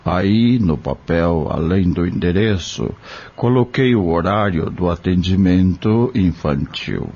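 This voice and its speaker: Man acting a slow, deep-voiced, kindly country doctor. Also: animated, dull audio, exaggerated voice. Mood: gravely advising. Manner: solemn, measured, gently preaching. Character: kind, grave male country doctor, devout and fatherly. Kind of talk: audio drama acting.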